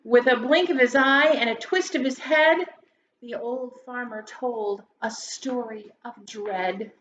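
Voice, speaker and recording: A woman with a fairly high voice reads a storybook to schoolchildren in a theatrical, emphatic way. The room is echoey, and the recording is a bit dull with faint noise. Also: takes it slowly, a bit bright. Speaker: grade school educator reading aloud to pupils. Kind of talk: expressive children's storybook read-aloud. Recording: roomy echo, dull sound, faint noise.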